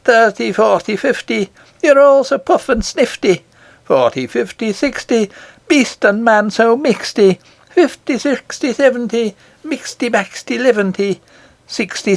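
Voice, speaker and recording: A man's voice: sits high, lively intonation, measured pace, gravelly, no room sound, voice pushed up, full character voice. A man voicing a brave miner boy from a children's storybook, chanting defiantly in a sing-song rhythm.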